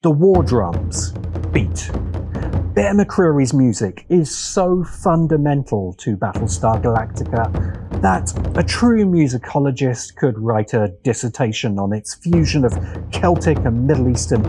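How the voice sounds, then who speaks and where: A man narrating a scripted video essay with dramatic, deliberate phrasing and weighty pauses. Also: mid-range voice, animated. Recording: music behind the voice. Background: music.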